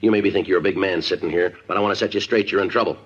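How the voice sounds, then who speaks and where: Hard-nosed American cop, low-voiced and brisk, deadpan delivery, muffled old radio drama sound. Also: male voice, husky. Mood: stern. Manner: clipped, deadpan, matter-of-fact. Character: terse no-nonsense male police detective and narrator. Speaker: actor. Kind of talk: 1950s radio crime drama narration and dialogue. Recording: muffled vintage 1950s radio recording.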